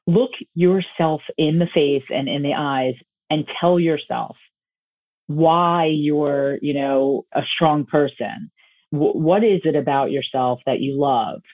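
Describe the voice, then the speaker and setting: A woman's voice: low-pitched, takes it slowly, dry recording. A woman health coach answering interview questions in an emphatic, self-assured way. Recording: tinny phone-line audio, dry.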